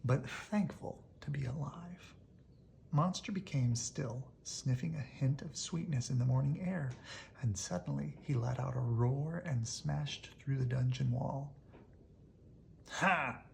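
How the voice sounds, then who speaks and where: A storybook reader narrates for children in an expressive read-aloud style. The delivery is slow, with creaky fry in a mid-pitched voice. Some background noise sits in the recording.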